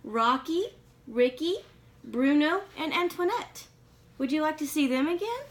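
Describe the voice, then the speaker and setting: High-voiced woman reading a picture book. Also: measured pace. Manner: expressive, questioning child-directed delivery. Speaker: read-aloud reader. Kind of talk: children's picture-book read-aloud with asides to listeners.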